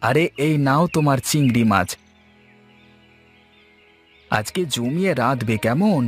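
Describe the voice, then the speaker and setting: A man's voice: medium pitch, animated, unhurried, husky, faint music behind. A man voicing a good-natured village farmer and doting father in a cartoon, folksy and full of exclamations. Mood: affectionate. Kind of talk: cartoon dialogue.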